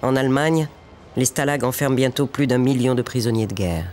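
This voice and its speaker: A man in the middle pitch range narrates a history documentary in a measured, solemn manner. His delivery sounds scripted and steady. Music plays underneath him.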